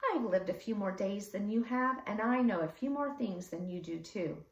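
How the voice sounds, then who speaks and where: A woman's voice: mid-range voice, moderate pace, vocal fry, reverberant, lo-fi sound, lightly acted. Woman voicing a spirited, bossy storybook granny. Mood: scolding.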